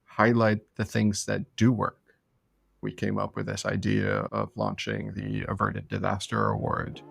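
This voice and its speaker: A man's voice: on the low side, monotone, measured pace, creaky. A middle-aged man being interviewed as an expert guest, speaking thoughtfully and often restarting his sentences. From France and elsewhere. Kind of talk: conversational podcast interview.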